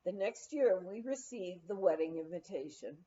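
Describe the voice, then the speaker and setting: A woman narrating a memoir as an audiobook reader, in an expressive storytelling manner on a slightly dull recording. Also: lowish voice, normal speed.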